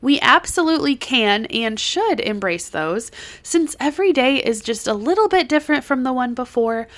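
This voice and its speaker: Woman podcast host with a cold, a bit hoarse and stuffy, earnest and encouraging, voice sits a little high. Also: animated, moderate pace. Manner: earnest, encouraging, gently reassuring. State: has a cold, slightly hoarse and congested. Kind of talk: solo lifestyle podcast monologue. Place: home basement.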